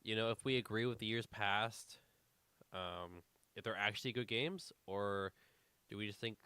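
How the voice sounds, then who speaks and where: A man's voice: fairly low pitch, normal speed, bright, forceful tone, clean tone, no echo. A man co-hosting a casual podcast, rambling with lots of fillers, a little congested. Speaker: podcast co-host. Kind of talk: casual chat.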